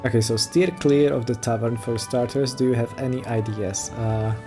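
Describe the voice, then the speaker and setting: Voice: medium pitch, steady tone, normal speed, music in the background. A gaming streamer giving casual let's play commentary and reading out dialogue choices.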